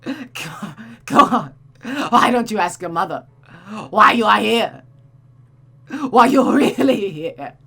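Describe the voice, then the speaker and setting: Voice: mid-range voice, animated, slow pace, gravelly, bright, forceful tone, pitched above the performer's own voice, exaggerated voice. A performer voicing a sadistic, deranged man, cynical and mocking, with taunting, theatrical delivery in a visual novel. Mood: maniacal, goading.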